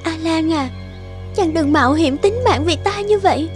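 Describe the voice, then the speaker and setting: A devoted young maiden of a cartoon fairy tale, played by a woman. Her high voice is tender, worried and pleading, with music behind it. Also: moderate pace. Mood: anxious, tender. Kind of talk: dubbed folktale cartoon acting.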